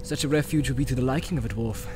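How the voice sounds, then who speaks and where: A man's voice: medium pitch, racing, close to an everyday voice. A valiant male warrior leader, acted out by a man reading an audiobook speaks in grave, archaic phrases. He is weary yet steadfast. Music runs behind his words. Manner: grave, formal archaic speech.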